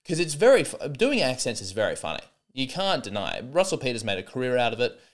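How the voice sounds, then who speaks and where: A man in early adulthood riffs through a solo comedy podcast, his pitch leaping up and down as he talks. He rambles and restarts his sentences often, with a dry, sarcastic edge. His voice sits at an ordinary middle pitch for a man. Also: wildly swooping pitch, fast talker. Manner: rambling, sarcastic, many false starts.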